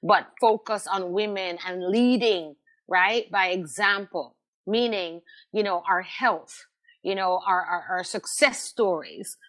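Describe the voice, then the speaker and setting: A woman's voice: medium pitch, slow pace, fairly bright tone. A middle-aged woman, speaking as an interview guest in an emphatic, conversational way, repeating phrases for stress. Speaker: podcast guest, radio host.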